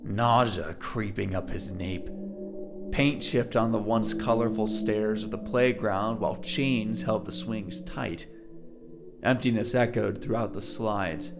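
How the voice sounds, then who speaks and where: A man's voice: on the low side, takes it slowly, gravelly, over music. A man narrating a scripted audio drama in a dramatic storytelling style.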